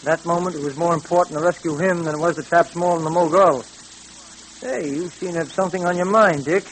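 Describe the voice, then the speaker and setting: An actor playing an affable, inquisitive police sidekick with a conversational manner in an old-time radio drama. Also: male voice, fairly high pitch, fairly quick, close to an everyday voice. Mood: concerned. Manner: conversational, questioning. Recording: noisy old radio recording.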